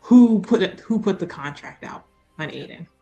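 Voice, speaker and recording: A woman's voice: lowish voice, animated, normal speed, mellow, soft background music, low-quality recording. A woman chatting casually and explaining things emphatically as a player in a tabletop roleplaying game.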